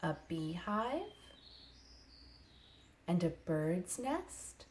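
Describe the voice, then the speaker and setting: A woman childcare educator telling stories and playing with toddlers in a sing-song, animated, child-directed way, using simple phrases. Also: fairly low pitch, drawn-out words, a little hiss or hum. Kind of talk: sing-song picture book storytelling and play for toddlers.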